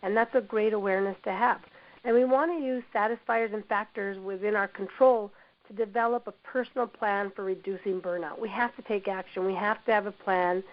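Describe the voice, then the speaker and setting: A woman with a hoarse voice from a cold, presenting a workplace training webinar in an encouraging manner, often saying "you know". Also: medium pitch, fairly even intonation, fairly quick. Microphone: computer mic on a webinar call. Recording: dull lo-fi web audio.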